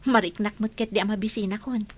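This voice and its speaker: A woman's voice: medium pitch, steady tone, fast, husky, natural voice. An actress playing a nosy young female coworker, chatty and inquisitive in a radio drama. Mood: curious.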